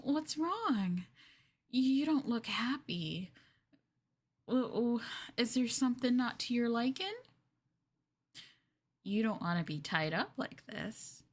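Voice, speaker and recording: Slow, sweetly possessive yandere girlfriend, acted by a woman. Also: fairly high pitch. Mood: flustered, coaxing. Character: sweetly possessive, obsessive young female captor lover. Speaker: streamer roleplaying for viewers. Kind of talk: yandere girlfriend ASMR roleplay.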